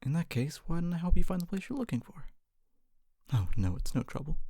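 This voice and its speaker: A performer voicing a kindly, humble fantasy city guard in a soft-spoken ASMR roleplay, often whispering, with a gentle, hesitant and reassuring manner. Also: mid-range voice, big pitch swings, fairly quick, husky, dry recording. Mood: helpful, reassuring. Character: kindly, humble city guard, wannabe knight, calming and helpful.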